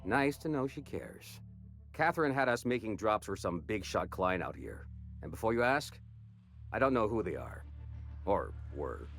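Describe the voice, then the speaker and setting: Wounded, low-voiced frontier smuggler. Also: male voice, very animated, moderate pace, a bit bright, soft background music. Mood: weary, helpful. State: winded from a wound. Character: wounded, wary, gritty frontier smuggler man. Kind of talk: video game voice acting.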